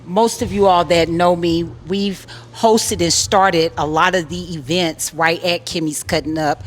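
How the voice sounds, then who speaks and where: Low-voiced middle-aged salon owner. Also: female voice, steady tone, measured pace. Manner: warm, supportive, loose and rambling. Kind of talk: panel talk.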